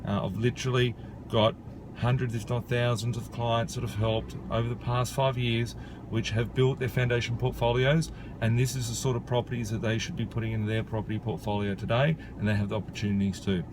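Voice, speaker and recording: A man's voice: mid-range voice, little pitch movement, a little fast. A man in his thirties from Australia, a property investor talking casually to camera outdoors, with many "uh" and "you know" fillers. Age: 30s. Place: outdoors beside a rural property. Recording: outdoor noise with traffic.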